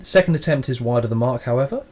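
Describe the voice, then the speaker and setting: A man's voice: mid-range voice, animated, fast talker, a little mellow. A man co-hosting a podcast, reading out match notes in a casual play-by-play recap with a dry, crude sense of humour. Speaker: podcast co-host.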